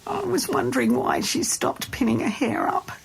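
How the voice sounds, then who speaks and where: An actress playing a bitter, grieving middle-aged mother in an audio drama, halting as she speaks. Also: fairly high pitch, wildly swooping pitch, vocal fry, a little hiss or hum, exaggerated voice, low-quality recording. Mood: grieving, fragile.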